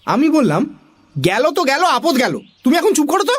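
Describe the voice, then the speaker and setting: A narrator telling a children's comic story in an animated scripted style, with a husky voice. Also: sits high, normal speed, music in the background.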